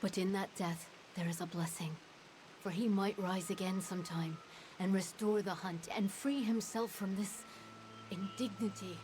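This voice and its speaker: A woman voicing a solemn, mournful fey herald in a fantasy game, speaking in a grave, measured, formal way. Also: medium pitch, fairly even intonation, darkish tone, a little hiss or hum, lightly acted.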